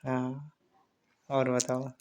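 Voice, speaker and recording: A man in a casual phone chat, rambling and teasing in a drawn-out way. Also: mid-range voice, completely flat delivery, a little mellow, slight background noise. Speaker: caller in a couple's phone chat. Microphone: phone call.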